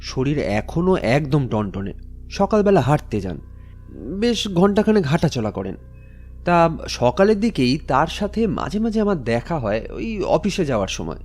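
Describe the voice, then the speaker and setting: A man's voice: fairly high pitch, big pitch swings, a little slow, a little mellow. A man playing a troubled, earnest office worker in an audio story, speaking hesitantly and respectfully. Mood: pensive, puzzled. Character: Kishori, troubled male office-going friend, earnest and hesitant. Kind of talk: Bengali audio story acting.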